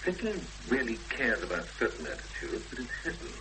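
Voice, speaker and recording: A man's voice: mid-range voice, wildly swooping pitch, moderate pace. An actor in a 1970s radio drama playing a self-assured, analytical literary man who hesitates as he thinks aloud. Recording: noisy hummy 1970s radio broadcast recording.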